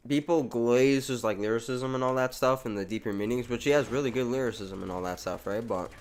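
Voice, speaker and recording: A man's voice: mid-range voice, fairly quick. A young American man chatting loosely on a live gameplay stream, rambling in slang with lots of restarts while reading chat. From United States. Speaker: livestreamer.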